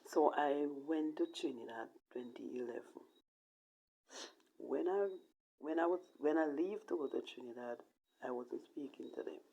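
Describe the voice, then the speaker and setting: A woman with a Jamaican accent telling a personal story casually in patois, in a halting, emphatic way that repeats phrases. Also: sits low, vocal fry, mellow, lo-fi sound. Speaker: vlogger telling own life story.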